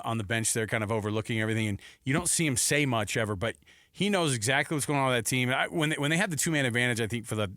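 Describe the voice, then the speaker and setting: A man co-hosting a sports talk show, chatting casually in a rambling, excitable way and acting out quotes. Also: medium pitch, no room sound, studio quality.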